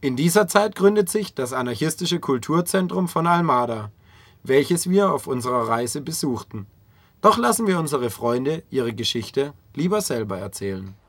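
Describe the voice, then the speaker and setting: A man's voice: mid-range voice, lively intonation, normal speed, fairly bright tone, no echo. A man reading scripted narration as the narrator of a radio documentary.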